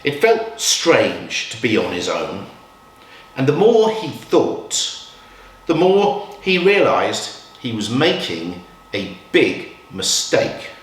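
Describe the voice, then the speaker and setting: A man reads a children's picture book aloud. His delivery is slow and very animated, with expressive swings and dramatic pauses. The recording is echoey, as in a live room. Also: mid-range voice, a little hiss or hum. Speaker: children's storytime reader. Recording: roomy echo, distant from mic.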